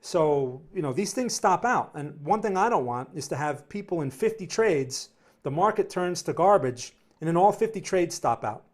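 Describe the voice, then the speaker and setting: A man's voice: on the high side, lively intonation, moderate pace. A man with a New Jersey accent hosting a live trading stream, answering viewer questions in a rambling way, often repeating words and tagging sentences with "right?". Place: home studio near New York City.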